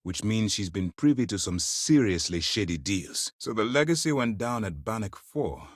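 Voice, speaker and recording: An actor playing a stern, principled military space-fleet commander in a video game, speaking formally and with measured authority. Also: male voice, on the low side, big pitch swings, no echo, studio quality. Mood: measured, firm. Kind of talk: dramatic game character dialogue.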